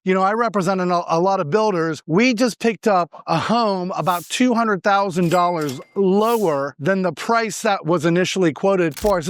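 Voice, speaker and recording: A man's voice: high-pitched, fairly bright tone. A man from Argentina speaking as a real estate agent, explaining things informally and emphatically, posing questions and answering them himself. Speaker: real estate agent giving market advice. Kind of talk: informal explanatory monologue to camera.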